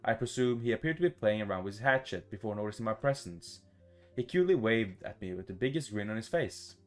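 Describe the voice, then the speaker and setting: A man reading a visual novel aloud for a gaming video in a casual, expressive, sweary way, adding his own playful reactions. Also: on the low side, fast, faint music behind.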